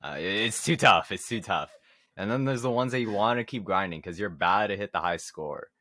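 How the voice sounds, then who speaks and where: A man's voice: lowish voice, animated, fairly quick, pushed and bright, dry recording, studio quality. A young man chatting casually as a podcast co-host. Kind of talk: casual chat about games and movies.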